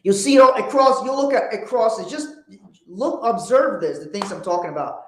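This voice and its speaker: A man's voice: sits high, expressive, fairly quick, fairly bright tone, roomy sound. A man from Liberia, hosting a motivational livestream in a blunt, profane, emphatic way. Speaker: host.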